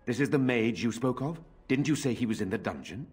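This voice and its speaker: A man playing a nobleman uncle in a fantasy video game, with a rough, husky voice. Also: mid-range voice, lively intonation, brisk, a little mellow. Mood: stern, reasoning. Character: nobleman uncle, freed from a demon's mind control.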